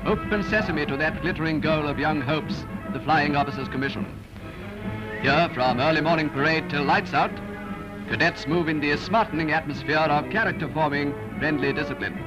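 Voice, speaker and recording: Rousing British newsreel man over loud music. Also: a little high, moderate pace, lo-fi sound. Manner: rousing, grandiose, declamatory. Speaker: newsreel narrator. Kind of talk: 1930s newsreel scripted narration. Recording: old film soundtrack from VHS, loud music.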